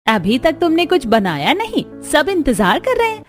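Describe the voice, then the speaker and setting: A woman's voice: high voice, wildly swooping pitch, normal speed, voice pushed up. A middle-aged cartoon mother-in-law, voiced by a woman, homely but bossy. She hands out demands to the household. Faint music sits underneath. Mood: impatient. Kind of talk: Hindi cartoon story dialogue.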